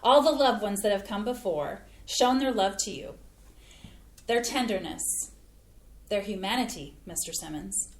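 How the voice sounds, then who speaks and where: A woman's voice: mid-range voice, measured pace, lightly acted. A woman acting a sincere, homespun mother and home cook in a scripted staged play reading. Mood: tender, earnest. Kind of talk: scripted community theatre acting. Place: indoor playhouse staged reading. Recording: echoey room with background noise.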